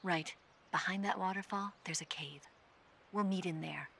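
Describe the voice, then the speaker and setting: A teasing female spy, low voice. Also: normal speed, vocal fry, a bit bright, in a large hall, slight background noise. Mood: urgent. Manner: teasing, playful. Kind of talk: video game cutscene acting.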